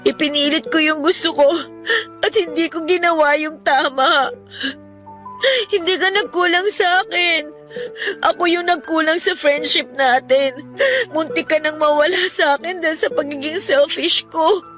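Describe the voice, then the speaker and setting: A woman's voice: high voice, steady tone, normal speed, a bit bright. A Filipino woman playing a remorseful teenage girl in a radio drama, contrite and emotional. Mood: tearful, remorseful. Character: remorseful teenage girl apologizing to her best friend. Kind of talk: Tagalog radio drama acting. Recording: music bed under the voice.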